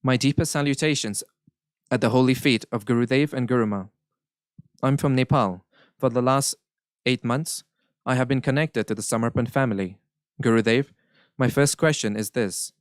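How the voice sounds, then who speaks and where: Smooth-voiced man interpreting. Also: medium pitch, dry recording. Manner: measured, earnest, occasional self-corrections. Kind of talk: live interpretation of a spiritual Q&A.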